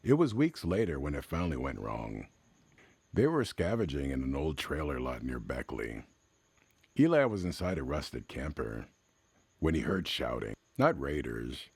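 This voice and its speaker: A man with an extremely low, gravelly voice tells a story in slow, measured phrases. His delivery is somber and cinematic, rising and falling widely between dramatic pauses, recorded close and dry. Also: big pitch swings, a little mellow, no echo. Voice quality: very deep, gravelly, husky. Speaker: storyteller, narrator. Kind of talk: slow cinematic scripted narration, classic radio drama style.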